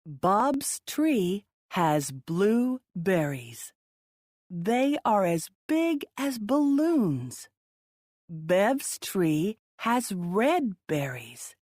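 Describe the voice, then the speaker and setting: A woman reading children's stories aloud in a deliberate, expressive way for young listeners. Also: medium pitch, no room sound, clean studio sound. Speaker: children's storybook reader. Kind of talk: read-aloud children's story narration.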